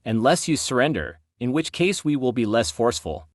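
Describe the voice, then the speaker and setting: Man doing a stern government envoy, blunt and commanding, lively pitch, dry close sound. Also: brisk, no echo, close to an everyday voice. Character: stern male government envoy delivering an ultimatum. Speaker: presidential representative. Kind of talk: amateur audio drama.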